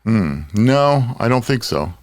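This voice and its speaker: A man's voice: mid-range voice, very animated, slow, dry recording. An older man with an American accent, hosting a casual podcast panel chat. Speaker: podcast host.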